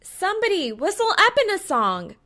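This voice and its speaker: A bold young costumed gamer girl, played by a woman reading a story, pitched up high and full of cheer. She is exclamatory and a little bossy, entirely unafraid of strangers. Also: big pitch swings, moderate pace, bright, forceful tone, exaggerated voice. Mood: loud, fearless.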